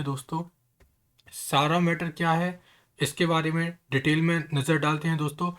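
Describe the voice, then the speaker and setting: A man's voice: on the high side, fairly bright tone. A man hosting an online news explainer, talking straight to viewers in an earnest, conversational way. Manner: earnest, repeatedly addresses viewers as friends.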